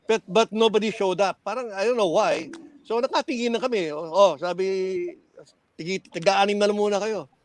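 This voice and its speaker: Older Filipino man with high-pitched voice. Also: a little fast, slight background noise. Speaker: veteran radio DJ sharing stories. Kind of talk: casual reminiscing chat, mixing English and Tagalog.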